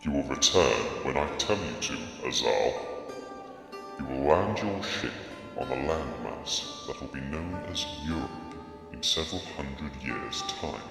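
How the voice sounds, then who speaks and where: An ancient alien overlord, voiced by a man, speaks in a cold, imperious growl, extremely low and rough, with slow, deliberate commands. His voice swoops widely in pitch. Heavy echo and a music bed surround him in this audio drama. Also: animated, heavily acted. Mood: commanding. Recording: echoey hall reverb with music.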